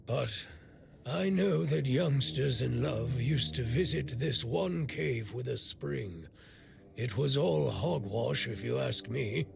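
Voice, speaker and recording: An actor playing a bitter, blunt, middle-aged blacksmith in a video game, grumbling and weary with worry. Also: male voice, medium pitch, lively intonation, slow pace, creaky, noisy background, exaggerated voice. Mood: bitter, dismissive. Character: gruff, bitter male blacksmith, worried for a stricken young man.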